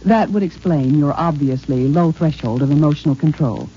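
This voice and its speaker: A woman's voice: sits low, moderate pace, mellow, lightly acted. An actress playing a composed, formal and emotionally guarded woman scientist in a 1950s radio drama. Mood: cool, formal. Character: cool, restrained woman geneticist professor in underground future. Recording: dull, muffled old radio recording.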